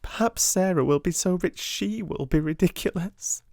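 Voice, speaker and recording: A man's voice: high voice, normal speed, creaky, a little mellow, dry recording, clean studio sound, voice pushed up. A man voicing a giggly, gossipy, teasing schoolgirl in a storybook.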